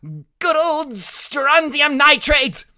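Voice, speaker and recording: Audiobook role: man plays excitable, bossy little know-it-all boy, high and swooping, dramatic delivery. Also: very slow, dry recording, studio quality, full character voice. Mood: gleeful shouting. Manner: exclamatory, dramatic, spouts scientific words. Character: small, brainy, excitable boy, bossy and fearless. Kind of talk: audiobook character voice.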